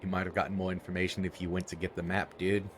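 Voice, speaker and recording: A man with a very deep voice comments casually on someone else's game, teasing them and laughing often. Faint background noise sits under his voice. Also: flat delivery, quick pace. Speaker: co-host watching the stream.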